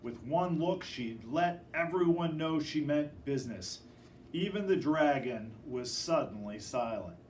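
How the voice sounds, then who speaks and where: A man reading a children's picture book aloud in an expressive, dramatic storytelling manner. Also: fairly high pitch, measured pace, echoey room. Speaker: storybook reader. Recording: distant microphone with faint background noise.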